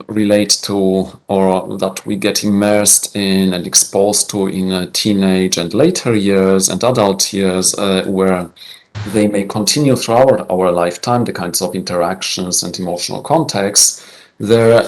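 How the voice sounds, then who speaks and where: Male academic speaker, deep and unhurried, pausing often while fielding questions. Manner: hesitant, many fillers, measured. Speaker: conference presenter answering questions.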